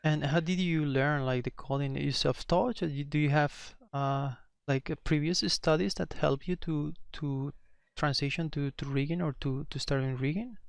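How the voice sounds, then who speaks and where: A podcast host with a non-native English accent, interviewing casually in a halting way with repeated words. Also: medium pitch, fairly even intonation, creaky, clear, resonant tone, no room sound. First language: not English.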